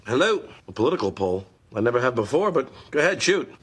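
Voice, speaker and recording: A man's voice: mid-range voice, very animated, quick pace, noisy background, natural voice. A man playing a flustered, clueless guy answering a phone poll in a comedy sketch. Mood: hesitant, flustered.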